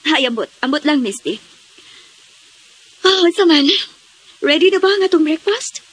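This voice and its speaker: A very high-voiced, anxious mother in a Filipino radio drama. She speaks with a Visayan accent in a fretful, emotive, evasive way, like someone guarding a secret. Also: animated, measured pace, rough recording. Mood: worried scolding.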